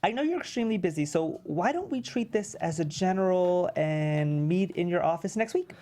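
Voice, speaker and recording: Woman playing scheming aspiring actor, thirties, glib, blunt, fairly low voice, comedy script reading. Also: expressive, moderate pace, warm tone, lightly acted. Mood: smooth bluffing. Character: brash, scheming thirty-one-year-old aspiring actor hustler. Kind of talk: script table read.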